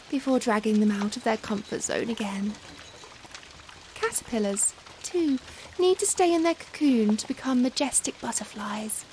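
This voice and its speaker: Woman voicing a young Victorian vampire lady, high soft voice, very unhurried, genteel and caring, ASMR roleplay. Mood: thoughtful, calm. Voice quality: soft, gentle. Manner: formal, genteel, unhurried old-fashioned phrasing. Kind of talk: softly spoken roleplay ASMR. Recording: steady rain noise behind the voice. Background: rain ambience.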